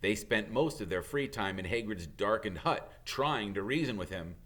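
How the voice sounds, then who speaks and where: A man reading a children's story aloud as an expressive narrator, like a teacher reading to pupils. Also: on the low side, normal speed.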